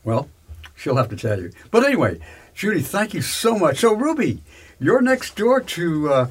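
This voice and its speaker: A man with a husky voice, talking conversationally as an interviewer and often interjecting in an animated way. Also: sits high, normal speed. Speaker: interviewer, host.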